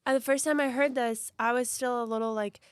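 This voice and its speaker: A woman's voice: a little high, steady tone, a little fast, clear, resonant tone, no room sound, clean studio sound. Rambling young woman podcast guest. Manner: rambling, heavy "like" filler, laughs often. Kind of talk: casual podcast chat.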